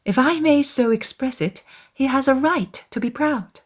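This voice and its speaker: A woman voicing a self-assured, teasing young gentlewoman in a dramatized classic novel, with a measured and knowing manner. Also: high-pitched, pitched above the performer's own voice. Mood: reasonable.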